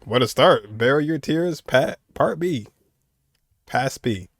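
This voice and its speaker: A man's voice: mid-range voice, expressive, takes it slowly, no echo. A man giving casual live commentary on game cutscenes, in slangy short exclamations. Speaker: game streamer reacting to cutscenes.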